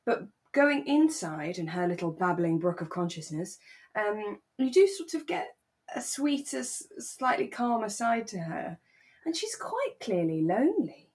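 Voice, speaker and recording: A woman co-hosting a podcast, talking in a relaxed, conversational review style. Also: mid-range voice, a little slow. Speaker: podcast co-host. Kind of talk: relaxed chat reviewing a radio drama.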